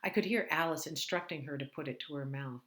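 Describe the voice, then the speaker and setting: A woman's voice: sits low, a little fast, a bit bright. A woman author reading her own novel aloud in first person, with a dry, wry tone. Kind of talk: first-person audiobook narration.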